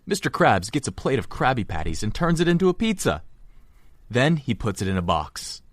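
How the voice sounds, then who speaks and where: A man's voice: mid-range voice, very animated, fairly quick, no echo. A man narrating a script in an informal amateur read-aloud.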